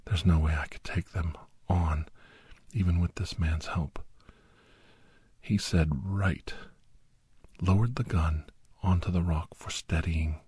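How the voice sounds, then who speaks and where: Man acting a slow, whispery, grave ex-soldier. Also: deep voice, fairly even intonation, no room sound, exaggerated voice. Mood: grave, regretful. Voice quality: breathy, husky. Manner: hushed, halting, often dropping into whisper. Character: doctor and ex-soldier recounting a battle, grave, anxious. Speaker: storyteller. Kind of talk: ASMR first-person dramatic story narration.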